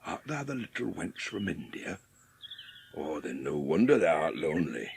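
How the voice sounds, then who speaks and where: A gruff old Yorkshire gardener, sour and lonely, with a creaky, gravelly voice. His blunt country dialect swings expressively up and down, as in an audiobook drama, over faint noise. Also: extremely low pitch, big pitch swings, normal speed, heavily acted. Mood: wry, gruff.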